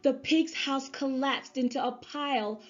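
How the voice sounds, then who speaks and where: A woman narrating a children's story aloud in an expressive storybook-reader style. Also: high voice, unhurried. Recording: slightly dull sound, music underneath. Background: music.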